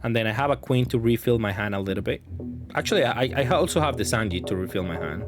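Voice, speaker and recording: A man's voice: on the low side, expressive, fast, over music. A man giving casual gameplay commentary, thinking aloud in a hesitant, self-correcting way. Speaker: gaming content creator commentating matches.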